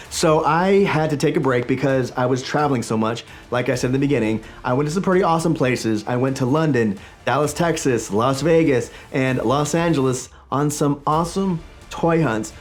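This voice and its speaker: Slightly raspy man chatting to camera. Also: medium pitch. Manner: enthusiastic, chatty, talks with his hands. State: recovering from illness. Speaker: toy collecting channel host. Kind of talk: casual vlog talk to camera. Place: small home studio with toy shelves.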